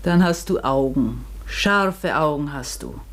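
A caring woman in a radio drama, gently consoling someone. Her delivery is slow and measured, yet the pitch moves expressively up and down. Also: expressive, exaggerated voice. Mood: tender, sad. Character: tender, concerned human woman consoling a friend. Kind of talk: German radio play acting.

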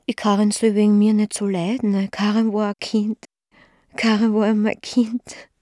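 An actress plays a confused, pious Austrian mother confessing in a radio play. Her voice is dark and muted, recorded very dry. She speaks haltingly in simple, childlike phrases that circle back on themselves. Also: mid-range voice, no echo, lightly acted. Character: devout, mentally confused mother confessing to killing her daughter. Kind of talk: naturalistic radio drama monologue.

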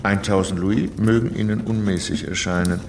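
A male actor as a scheming, money-hungry count from revolutionary France. His voice is on the low side and full of gravel, set in a reverberant radio drama scene. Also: fairly even intonation, normal speed, natural voice. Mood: urgent, calculating. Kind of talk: German radio drama acting. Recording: echoey room with clear background noise.

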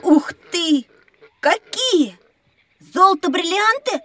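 A woman voicing a curious, excitable young child in a children's educational audio drama, eagerly questioning and exclaiming. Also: very high-pitched, slow pace, dry recording, exaggerated voice. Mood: excited.

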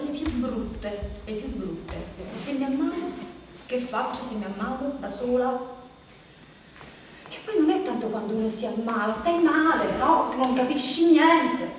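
An actress playing an anxious, bitter woman nearing forty in a halting, repetitive, confessional stage monologue. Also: sits high. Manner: halting, repetitive, insistent, with rhetorical questions. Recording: echoey hall from far off, background noise.

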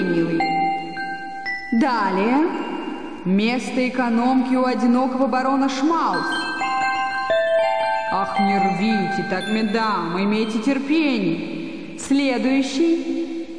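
Fate, an imperious and teasing dispenser of gifts in a radio play. The delivery is grand and patronizing, ringing through a long echoing hall with music clearly behind it. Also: mid-range voice. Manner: grandly declaiming, patronizing, addressing takers as dears. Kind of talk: theatrical radio drama acting.

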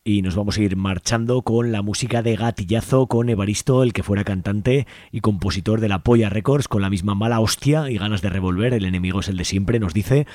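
Un hombre de España que presenta un programa de radio comunitaria de forma informal y juguetona, con anuncios y despedidas. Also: male voice, fairly low pitch, fairly quick, darkish tone, slight background noise. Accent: Spain. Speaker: community radio host. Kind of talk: radio show announcements and sign-off over music. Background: music playing behind the voice.

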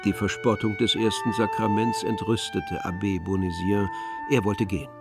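A man's voice: lowish voice, little pitch movement, moderate pace, over loud music. A man reading scripted literary narration for an audio drama.

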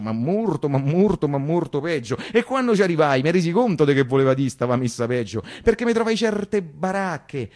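A man's voice: mid-range voice, darkish tone, natural voice. An actor playing a streetwise Roman man who recalls his wartime boyhood in a theatrical dialect monologue, emphatic and full of repetitions. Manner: breathless, repetitive, emphatic working-class storytelling. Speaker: actor and narrator in radio theatre.

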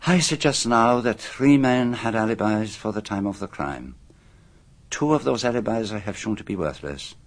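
An actor playing a self-assured, methodical detective in a radio drama, speaking formally with dramatic emphasis. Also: male voice, lowish voice, lively intonation, normal speed. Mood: reflective, dramatic. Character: famous male detective, methodical, self-assured, theatrical in revealing a murderer.

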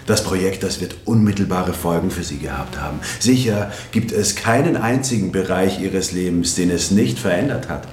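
An actor playing a secretive, wry corporate insider who addresses listeners directly in a radio drama monologue, recorded in a roomy space. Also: male voice, deep voice, moderate pace, hardly any pauses, darkish tone, lightly acted. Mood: wry, confiding. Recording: roomy echo with faint background ambience.

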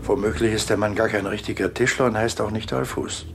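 Brooding, gravelly factory foreman. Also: on the low side, a little fast, a crowd in the background. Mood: suspicious, brooding. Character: suspicious, bitter factory foreman thinking to himself. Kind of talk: radio drama interior monologue.

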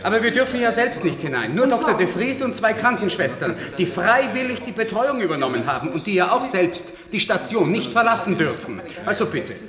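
A harried male doctor in a radio drama, pleading and placating. The voice rings through a reverberant hall over a clearly audible crowd. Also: high-pitched, sing-song, brisk, hardly any pauses. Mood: apologetic, pleading. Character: harried hospital doctor pleading with anxious relatives. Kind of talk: German radio play acting. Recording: echoey hall with loud murmuring crowd.

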